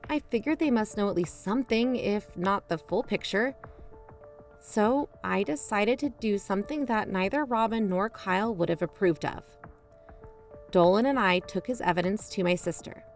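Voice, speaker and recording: An actress playing a suspicious, self-justifying investigator who hosts a podcast, narrating in an emphatic, confiding, conversational way. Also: female voice, mid-range voice, moderate pace, soft background music, natural voice. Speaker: podcast host.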